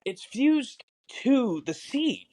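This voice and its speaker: A man co-hosting a podcast, explaining things casually in halting, piecemeal phrases. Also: very high voice, sing-song, a little mellow, no echo, low-quality recording. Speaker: podcast co-host.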